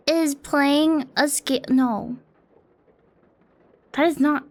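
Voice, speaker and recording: A young woman streaming from her apartment, chatting casually in a rambling, self-deprecating way and swearing often, close to a desk mic. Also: high-pitched. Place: home apartment streaming setup. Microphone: close desk mic on an arm.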